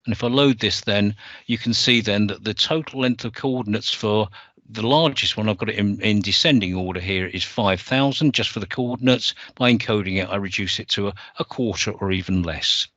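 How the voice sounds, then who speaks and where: A man from the UK presenting a software demo over a screen share, explaining step by step and correcting himself as he goes. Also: lowish voice, brisk, no echo, lo-fi sound.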